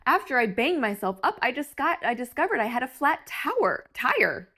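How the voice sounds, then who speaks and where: A woman reading a picture book aloud for children with expressive, theatrical emphasis. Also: sits high, normal speed. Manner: animated, theatrical emphasis for young listeners.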